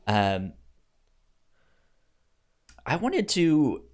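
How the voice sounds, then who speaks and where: A man chatting casually about a film as a podcast co-host, with a halting, stammering delivery. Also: fairly high pitch, huge pitch swings.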